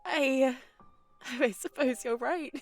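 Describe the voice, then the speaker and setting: A woman playing a gentle, timid young novice nun in a game, speaking in a soft, hesitant way with stammers. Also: sits high, takes it slowly, dry recording, soft background music. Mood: wistful. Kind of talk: visual novel voice acting.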